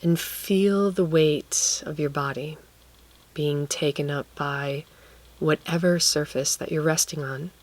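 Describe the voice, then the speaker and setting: A woman guiding a relaxation meditation, speaking gently and leaving long pauses between phrases. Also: deep voice, fairly even intonation. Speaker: guided meditation teacher.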